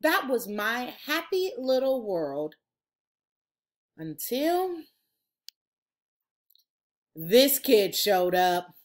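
A middle-aged woman reading a children's storybook aloud like a teacher, with dramatic, drawn-out emphasis. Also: on the high side, very animated. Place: room at home. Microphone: video call, computer at desk distance.

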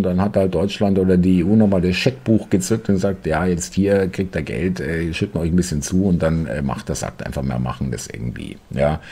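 A man's voice: low voice, mellow, husky. A man in his forties giving sarcastic political commentary while reading news articles aloud from his desk, full of hesitations.

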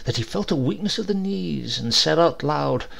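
Creaky-voiced man narrating with lively intonation. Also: on the high side, moderate pace. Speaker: audiobook narrator. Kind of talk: expressive scripted literary narration.

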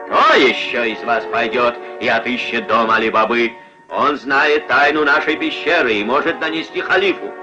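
A man voicing a wary, scheming robber from a bandit gang in a puppet cartoon, dramatic and forceful. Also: medium pitch, moderate pace, over music, lightly acted. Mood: warning. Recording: dull old film soundtrack.

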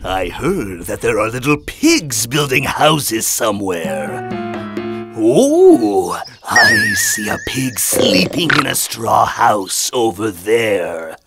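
Big bad cartoon wolf, super slow and gloating, growly, wild swings in pitch, hungry and mean. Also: wildly swooping pitch, heavily acted. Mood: sly, hungry. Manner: growling, drawn-out gloating with cackles. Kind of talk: children's cartoon storytelling. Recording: music behind the voice.

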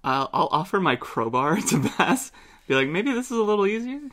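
A woman's voice: on the low side, sing-song, moderate pace, a bit bright. A woman playing a tabletop game, chatting and role-playing in a casual, playful way.

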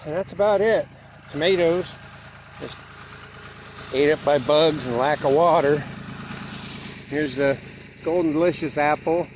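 A plain-spoken man with a slightly higher voice talks his way through a parched garden in a folksy, unhurried drawl. He is outdoors with a handheld camera, and a faint hum of outdoor noise sits under his voice. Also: rough recording. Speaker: homestead vlogger. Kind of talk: casual walk-through narration. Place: outdoors in a dry farm garden. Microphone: handheld camera carried while walking.

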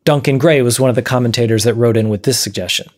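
Measured man giving an explanatory lesson. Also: medium pitch. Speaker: presenter of an audio science series. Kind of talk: explanatory video lesson to camera. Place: small dim room, indoors.

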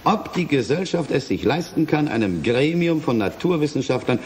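A man's voice: a little high, sing-song, moderate pace, darkish tone, a little hiss or hum. An actor in a German radio play playing a formal, insistent male chief prosecutor with a pointed, legalistic manner. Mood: measured, unyielding.

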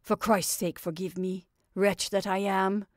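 A woman reading the part of a guilty, remorseful male convict who begs desperately for forgiveness, her voice raised and loud. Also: mid-range voice, normal speed, no room sound, clean studio sound, lightly acted. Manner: imploring, desperate.

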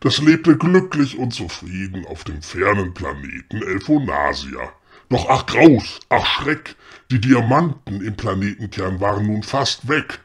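A man playing a storyteller who reads a mock-solemn fairy tale deliberately and with dramatic relish. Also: fairly low pitch, big pitch swings, slightly rough, heavily acted.

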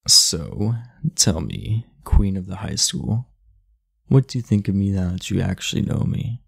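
Casting: man as aloof high school bad boy for ASMR roleplay, very gravelly low voice, blunt yet confiding. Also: expressive, unhurried, a bit bright, full character voice. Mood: earnest, confiding. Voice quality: gravelly, husky. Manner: laid-back, blunt, confiding. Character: aloof, muscular, blunt teenage class delinquent, secretly earnest. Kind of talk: intimate ASMR roleplay acting.

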